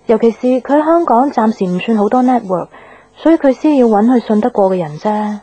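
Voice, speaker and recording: A woman's voice: fairly high pitch, lively intonation, brisk, warm tone, slightly rough, low-quality recording. An actress playing a caring but frustrated young girlfriend in a Cantonese radio drama, with a Hong Kong accent and an earnest, plaintive manner. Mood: concerned, reproachful. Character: caring, frustrated young girlfriend, anxious about her relationship. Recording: background music under the dialogue.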